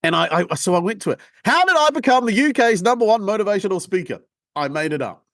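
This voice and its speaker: A man in his forties with a New Zealand accent talks as an interview guest, spinning brash, jokey stories. His voice sits fairly high for a man. The sound is close and dry. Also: big pitch swings, fast talker, fairly bright tone, dry recording. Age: 40s. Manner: brash, joking storyteller. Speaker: guest, sales trainer. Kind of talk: casual interview chat.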